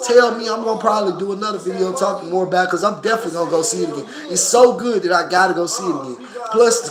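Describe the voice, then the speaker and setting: A man in his thirties with a Memphis accent and a hoarse, coughing voice, reviewing a movie casually and profanely to his phone camera. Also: high voice, rough recording. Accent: Southern US, Memphis. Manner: profane, animated, talks straight to camera.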